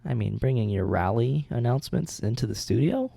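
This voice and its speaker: A man's voice: mid-range voice, expressive, a little fast, gravelly, warm tone, no room sound, clean studio sound. A man co-hosting a podcast in casual chat, laid-back and swearing freely, trailing off with fillers. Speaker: podcast co-host.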